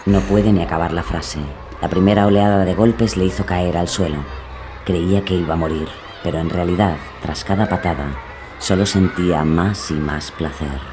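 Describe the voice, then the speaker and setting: A man's voice: low voice, fairly even intonation, moderate pace, noisy background. A man narrating a scripted, dramatised true-crime story.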